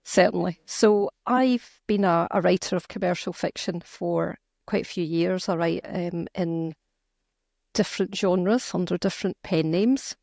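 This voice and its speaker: A woman's voice: fairly low pitch, measured pace, vocal fry, darkish tone, no echo, studio quality. A middle-aged Scottish woman, an author talking earnestly and emphatically in a conversational interview, with frequent restarts. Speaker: author and guest.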